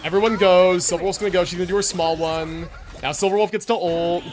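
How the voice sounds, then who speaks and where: A man's voice: high-pitched, fairly even intonation, quick pace, background noise. A man livestreaming a game, commenting casually and answering chat, slangy and sweary as he thinks aloud.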